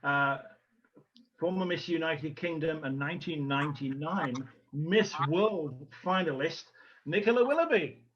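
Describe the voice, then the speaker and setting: A man hosting an online event informally and haltingly, speaking over a video call. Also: a little high, lively intonation, fairly bright tone. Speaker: host and organiser of a pageant. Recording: muffled lo-fi video call audio.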